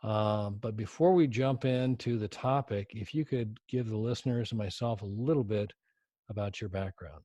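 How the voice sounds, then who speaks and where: Low-voiced chatty podcast host. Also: male voice, normal speed, warm tone, no echo, lo-fi sound. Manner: friendly, chatty, hesitant fillers. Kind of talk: podcast interview.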